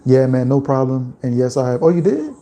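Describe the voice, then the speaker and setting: A man from Louisiana with a Louisiana accent, chatting casually on a livestream and reading out viewer comments in a relaxed, rambling way. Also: mid-range voice, brisk. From Louisiana, USA. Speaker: livestream host.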